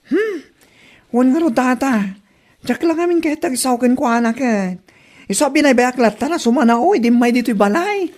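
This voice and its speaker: A woman's voice: sits high, lively intonation, moderate pace, a little mellow. A woman with an Ilocano accent playing a gossipy, teasing neighbourhood woman in a comedy drama. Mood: casual.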